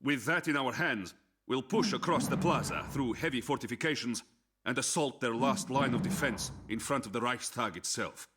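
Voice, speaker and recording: An actor playing a resolute Soviet army commander, giving a formal, forceful battle briefing in a video game. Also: male voice, mid-range voice, normal speed, very noisy, close to an everyday voice. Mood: stern, businesslike. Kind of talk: scripted military briefing narration.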